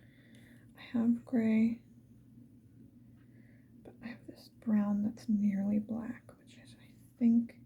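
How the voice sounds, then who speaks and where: A woman's voice: fairly high pitch, level tone, takes it slowly, pauses often, phone-quality sound, a little hiss or hum. Whispering ASMR woman, casual and playful. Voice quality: breathy, mostly unvoiced whisper. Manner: casual, playful, chatting to the viewer. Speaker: ASMR video creator. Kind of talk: whispered ASMR get-ready-with-me chat.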